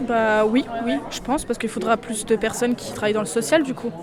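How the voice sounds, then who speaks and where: A woman's voice: fairly high pitch, fairly even intonation, a little fast. A nineteen-year-old woman from eastern France, a student giving casual interview answers. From Vosges, France. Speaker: social work student being interviewed. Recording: outdoors amid busy crowd chatter. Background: crowd chatter outdoors.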